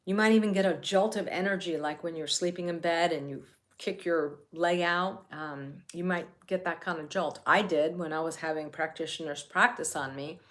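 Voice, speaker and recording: Woman, low-pitched, middle-aged, chatty rambling delivery, guiding a healing session. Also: normal speed. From New Jersey. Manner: chatty, rambling, restarts often. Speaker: self-healing teacher. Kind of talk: guided self-care session talk.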